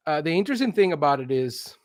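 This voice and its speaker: A man's voice: a little high, fast, no room sound. Guy late 40s, spanish speaker, chatty storytelling, quotes his own thoughts, keeps checking in, dry sound no room. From Venezuela. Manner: animated self-quoting, often checks in with "right?". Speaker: interview guest, consultant in instructional design. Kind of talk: conversational personal storytelling.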